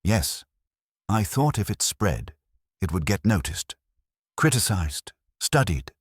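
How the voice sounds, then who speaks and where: A man's voice: lowish voice, big pitch swings, slow pace, slightly rough, no echo, clean studio sound, pitched above the performer's own voice, exaggerated voice. A man voicing a nervous young woman. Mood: earnest.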